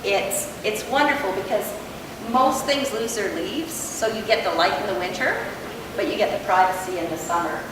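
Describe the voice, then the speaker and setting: An older woman from Canada giving a loud, chatty workshop talk to an audience, with many fillers. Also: mid-range voice, lively intonation, moderate pace. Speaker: workshop presenter. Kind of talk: casual hands-on talk to an audience. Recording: echoey hall, voice far from mic, background noise.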